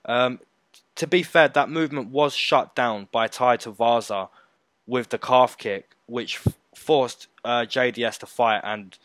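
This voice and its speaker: Co-host, mid-pitched man, dry close sound, emphatic casual delivery. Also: measured pace, fairly bright tone, dry recording. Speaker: co-host of a fight prediction show. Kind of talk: casual betting breakdown chat.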